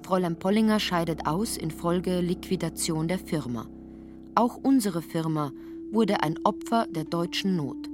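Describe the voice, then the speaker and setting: A woman with a slightly low voice narrates a literary radio drama. She reads scripted prose, and music is clearly present behind her.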